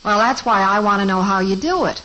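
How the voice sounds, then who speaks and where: Dry, teasing middle-aged mom played by an actress, dull old radio sound. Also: female voice, mid-range voice, brisk, pushed and bright, slight background noise, lightly acted. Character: patient, teasing middle-aged mother and wife. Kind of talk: 1950s radio sitcom acting.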